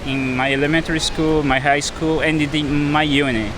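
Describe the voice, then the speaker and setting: A man's voice: on the high side, fairly even intonation, moderate pace, noisy background. A man from Brazil with a Brazilian Portuguese accent, a native Portuguese speaker answering street interview questions in simple, hesitant English. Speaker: interviewee, engineering student.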